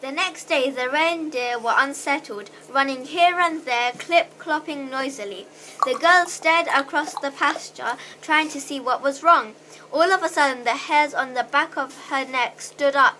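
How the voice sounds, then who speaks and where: A female narrator reading a scripted children's story aloud for a school puppet show, with deliberate delivery. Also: sits high, bright, forceful tone, a little hiss or hum.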